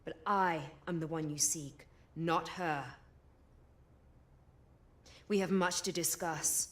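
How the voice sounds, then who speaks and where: An actress playing a proud, resolute rebel queen with an Indian accent, speaking formally and gravely in a video game. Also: sits low, steady tone. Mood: composed, commanding. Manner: formal, measured, imperious. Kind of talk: dramatic video game cutscene acting.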